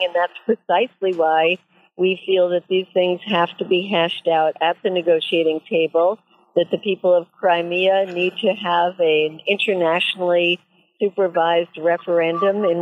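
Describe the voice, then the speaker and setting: Female pundit, lowish voice, measured argument, thin telephone-quality radio sound. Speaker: guest commentator. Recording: tinny phone-like radio line.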